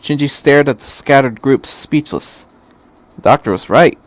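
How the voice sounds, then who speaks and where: A man's voice: mid-range voice, no room sound. Casting: male amateur narrator, mid-range voice, informal, stammering slightly, drifts from reading into chatty commentary. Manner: halting, stammering, repeats himself. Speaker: amateur fan fiction reader. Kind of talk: story reading with casual rambling asides.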